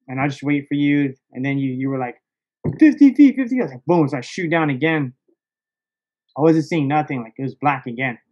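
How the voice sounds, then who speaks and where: Male podcast guest, higher-pitched, excitable casual storyteller, slangy and sweary, slightly lo-fi recording. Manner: slangy, excitable, profanity-laced, peppered with "bro" and "dog".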